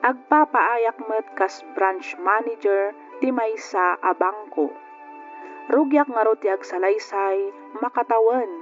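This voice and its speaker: An actress playing a married woman who recounts her own life story in measured radio drama narration. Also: mid-range voice, close to an everyday voice. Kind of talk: old radio drama narration in the first person. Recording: dull radio recording with music underneath.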